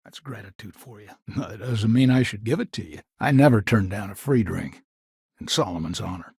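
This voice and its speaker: An actor playing a stern, proud old grandfather of a frontier family in a video game, gruff and curt, with a gravelly, husky voice and folksy turns of phrase. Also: on the low side, lively intonation, moderate pace, a little mellow, dry recording, studio quality, exaggerated voice. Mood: weary, stubborn. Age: older. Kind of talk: dramatic video game dialogue acting.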